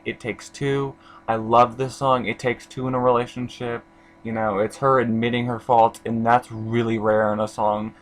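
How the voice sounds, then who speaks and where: A young man from Indiana talks through an album in a loose, chatty vlog. His voice sits at an ordinary middle pitch. He wanders off track, restarts sentences and leans on fillers. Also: fairly bright tone, slight background noise, rough recording. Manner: rambling, lots of fillers and restarts. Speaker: album reviewer. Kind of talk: casual vlog review.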